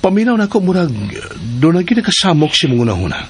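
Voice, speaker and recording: A man's voice: high voice, very animated, quick pace. A man playing a caring, affectionate and attentive husband in a Filipino radio soap. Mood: concerned. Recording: faintly noisy radio drama recording.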